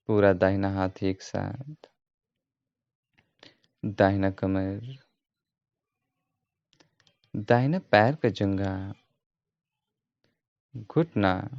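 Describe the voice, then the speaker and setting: Calm guy leading yoga meditation, really slow talking, bit of a muffled dull recording. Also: low-pitched, creaky. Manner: calm, measured, repetitive guiding. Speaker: yoga instructor. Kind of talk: guided meditation instruction. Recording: roomy echo, dull muffled sound.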